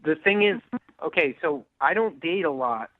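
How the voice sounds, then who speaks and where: A man calling in to a radio show by phone, talking in a nervous, hesitant, halting way. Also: fairly high pitch, lively intonation, a crowd in the background. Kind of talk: casual phone talk. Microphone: telephone. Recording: narrow dry phone line.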